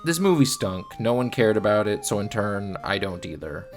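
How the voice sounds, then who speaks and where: A man's voice: on the low side, big pitch swings, moderate pace, faint music behind. A man giving scripted film review commentary in a blunt, sardonic manner. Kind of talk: scripted video essay commentary.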